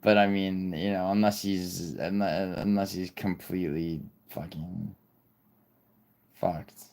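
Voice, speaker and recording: A man's voice: low-pitched, fairly even intonation, slow pace, gravelly, a little mellow. A young man hosting a casual livestream, rambling, swearing and reading viewer chat aloud. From born in Italy, raised in New York City. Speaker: livestream host.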